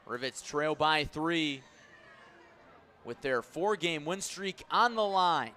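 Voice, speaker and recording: A male baseball play-by-play announcer with a bright, forceful voice pitched slightly high. He calls the game live in clipped phrases and leans on key words. The delivery is that of radio sports commentary. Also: takes it slowly.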